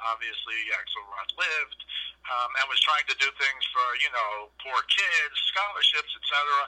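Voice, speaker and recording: A man's voice: mid-range voice, bright, forceful tone. A man in his fifties from New York, with a New York accent, telling long rambling stories in casual podcast chat over a phone line. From New York City. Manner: rambling storyteller with fillers.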